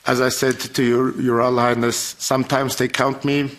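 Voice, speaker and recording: A man's voice: mid-range voice, flat delivery, normal speed, a bit bright. A man from Iran, a member of parliament, giving an earnest, emphatic address at a formal event, with phrasing.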